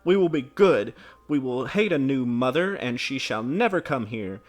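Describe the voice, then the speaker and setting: A man's voice: mid-range voice, big pitch swings, unhurried, voice pushed up. A man voicing a small, passionate girl in a storybook, pleading with wailing emphasis.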